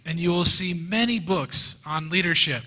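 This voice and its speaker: Slow, earnest man in a hall. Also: on the high side, sharp tone. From United States. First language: English. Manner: slow, earnest, pausing in short phrases for the interpreter. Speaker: guest teacher. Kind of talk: lecture given through an interpreter. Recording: reverberant hall.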